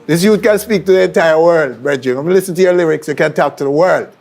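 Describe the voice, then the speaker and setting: A man's voice: on the high side, quick pace, darkish tone, faint music behind. A middle-aged Jamaican man hosting an interview show, putting questions to his guests. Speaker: television interview host.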